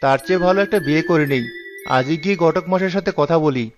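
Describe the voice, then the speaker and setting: A man's voice: fairly high pitch, fast talker, over music, natural voice. A man voicing a grumpy, boastful young penny-pinching villager in a Bengali cartoon, with an indignant, blustering delivery. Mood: calculating.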